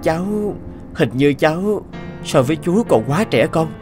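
An actor voicing a young, modest man who talks in an earnest, soft-spoken way in a cartoon. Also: a little high, expressive, measured pace. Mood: respectful. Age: young adult. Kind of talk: cartoon voice acting. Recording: music under the voice. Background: background music.

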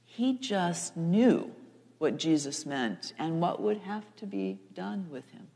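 An older woman pastor delivers a sermon in a slightly low voice. She speaks slowly and deliberately, repeating phrases for emphasis, and her words ring in a large, reverberant church. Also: expressive, dark tone. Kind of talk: co-preached sermon, storytelling delivery. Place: large church sanctuary, seated at front. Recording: echoey church hall, long reverb.

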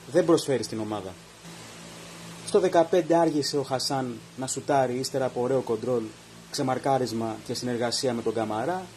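A man's voice: medium pitch, warm tone, a little hiss or hum. A man hosting a podcast, giving an opinionated minute-by-minute review of a football match. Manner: opinionated, reads out events minute by minute. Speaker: podcast host. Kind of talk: post-match commentary monologue.

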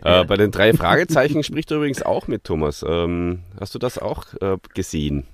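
Lively low-voiced male podcast host. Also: moderate pace, no echo. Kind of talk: casual review chat.

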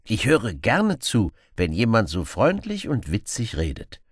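A man's voice: lowish voice, wildly swooping pitch, unhurried, breathy edge, no room sound, studio quality, pitched above the performer's own voice, heavily acted. A man voicing a friendly, fearless young outlaw hero in an audiobook, playful and teasing. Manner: playful, mock-innocent, teasing.

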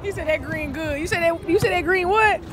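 A woman's voice: very high-pitched, brisk, crowd noise. A young woman, vlogging casually on a phone held at arm's length and talking to viewers as y'all. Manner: laid-back, drawling, talks to viewers as y'all. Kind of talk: casual vlog chat. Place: outdoor carnival at night. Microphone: phone camera held at arm's length. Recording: noisy outdoor phone recording.

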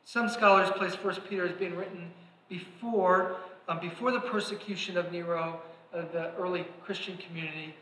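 Middle-aged male preacher, high and bright, earnest and emphatic, pulpit mic in an echoey church. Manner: earnest, rising to emphatic exhortation, gesturing. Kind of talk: sermon. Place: large church sanctuary, at a pulpit. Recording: echoey sanctuary reverb.

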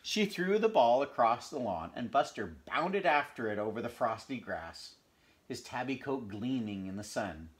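A man in his fifties reading a children's storybook aloud in an expressive, animated way at home. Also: mid-range voice, normal speed. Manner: animated storytelling with asides to the listener. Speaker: storybook reader. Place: small furnished room at home.

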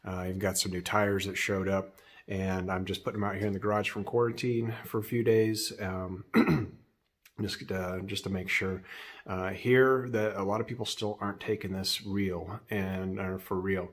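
A middle-aged American man talks casually to his phone in a garage. His voice is deep and keeps to a narrow, level range. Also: level tone, normal speed. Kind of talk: casual video update. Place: cluttered garage. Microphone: phone at arm's length.

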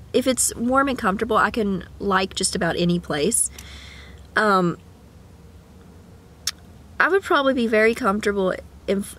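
Young woman vlogger, a bit sick with a cough, gravelly fry, rambling chat to a handheld camera in her car. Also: on the high side, fairly bright tone. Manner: rambling, chatty, with sing-song asides. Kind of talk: casual chat. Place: parked car. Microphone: camera at arm's length.

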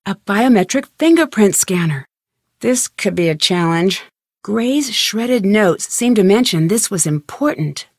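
A woman playing a young, curious and determined amateur detective in a video game, thinking aloud in clearly articulated scripted lines. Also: medium pitch, animated, unhurried, no echo, studio quality. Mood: musing, focused.

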